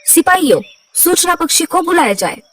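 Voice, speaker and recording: A woman's voice: very high-pitched, sing-song, normal speed, a bit bright, recorded from a distance, slight background noise, full character voice. A woman voicing a kindly, trusting bird king with a regal manner in a cartoon story. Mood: attentive. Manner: regal, attentive.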